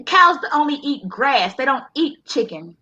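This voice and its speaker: A woman's voice: high-pitched, sing-song, measured pace, pushed and bright, lo-fi sound. Sassy Texan woman with a drawl. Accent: Texas. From Texas. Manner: sassy, playful, drawling slang. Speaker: guest. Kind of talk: casual chat.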